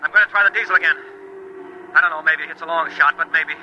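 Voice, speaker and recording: Very loud noise roars around a male actor playing an excitable helmsman in a 1950s radio drama. His high voice rattles out urgent, clipped commands at a fast pace. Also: natural voice. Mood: excited, hopeful. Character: driven, excitable male land-ship helmsman battling a gale. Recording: dull old radio recording, loud storm noise.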